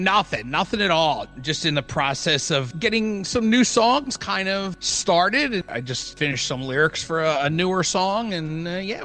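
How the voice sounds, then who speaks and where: A man's voice: sits high, a bit bright. A middle-aged man co-hosting a music podcast in casual conversation. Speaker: podcast co-host.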